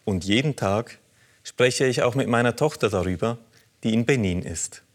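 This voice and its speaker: A man presenting a religious program, reading listener letters aloud in a measured, earnest way. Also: medium pitch. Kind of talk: calm scripted reading of letters.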